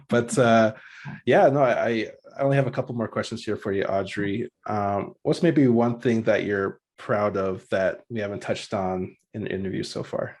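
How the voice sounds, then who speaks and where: A man hosting his podcast who asks questions in a friendly, casual way and gives quick affirmations. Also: fairly low pitch, darkish tone. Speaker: podcast host. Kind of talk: interviewer's casual chat.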